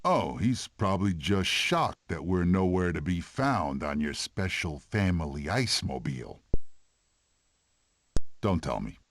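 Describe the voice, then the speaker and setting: A big, gruff cartoon animal with a very low voice. He is dry and sardonic, leaning on his words with wide swings and an easy pace, in a family game clip. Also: big pitch swings, unhurried, no echo, heavily acted. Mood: dry, weary.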